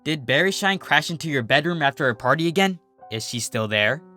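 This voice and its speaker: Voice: medium pitch, lively intonation, brisk, sharp tone, soft background music. A performer voicing a mischievous young female cartoon unicorn, smug, playful and teasing. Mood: curious.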